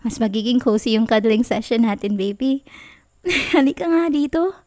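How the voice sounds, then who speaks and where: A young woman playing a clingy, pouty, playful girlfriend in an intimate ASMR roleplay, with a Filipino accent and a soft, whiny, teasing delivery. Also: fairly high pitch, expressive, moderate pace, lightly acted. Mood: affectionate, teasing.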